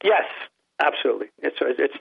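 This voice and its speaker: A man's voice: mid-range voice, very animated, brisk, gravelly, slightly rough, dry recording. An older man with a New England accent, a guest sportswriter chatting in a podcast interview, who rambles and often restarts his sentences. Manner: rambling, many restarts and repeated words. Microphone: phone line. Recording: tinny phone line.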